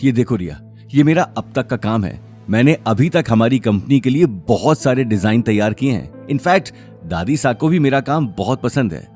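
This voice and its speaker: A man's voice: medium pitch, lively intonation, normal speed, a little mellow, soft background music, close to an everyday voice. A man voicing a boastful office designer showing off in an audio drama.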